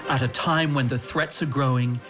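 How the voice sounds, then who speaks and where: Brief: male reporter, mid-pitched voice, earnest measured narration, music bed underneath. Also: fairly even intonation. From Australia. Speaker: foreign correspondent. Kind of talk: scripted documentary narration.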